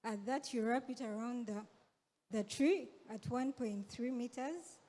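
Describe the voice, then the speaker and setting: A woman presenting on a conference stage, explaining a process in a measured, step-by-step way. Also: on the high side, mellow, long reverb tail.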